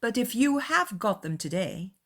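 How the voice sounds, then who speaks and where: A woman acting out a sensible young woman in a dramatized classic novel, composed and quietly pointed. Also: a little high, very animated, normal speed, voice pushed up.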